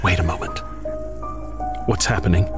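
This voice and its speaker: An actor playing a grief-stricken, tormented man haunted by a raven, in a theatrical, halting, impassioned radio horror monologue. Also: sits low, loud music behind the voice, lightly acted. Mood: uneasy wonder. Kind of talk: dramatic monologue, old-time radio horror acting.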